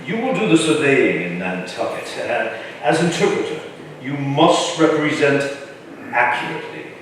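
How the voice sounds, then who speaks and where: An actor playing an imperious, shrewd older colonial governor with a formal, wry, commanding manner in a staged reading. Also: male voice, mid-range voice, sing-song, normal speed, natural voice. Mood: brisk, shrewd. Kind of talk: staged reading of a historical play. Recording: echoey room from far off, faint noise.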